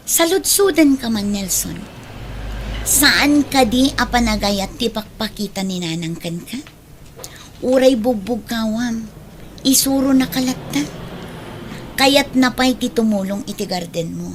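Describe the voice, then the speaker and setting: A woman acting a high-voiced, reproving Ilocano elder sister. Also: animated, unhurried, long pauses, a little hiss or hum, poor audio. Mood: reproving, earnest. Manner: earnest, admonishing. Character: caring, reproving elder sister who defends her mother. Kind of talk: Ilocano audio drama acting.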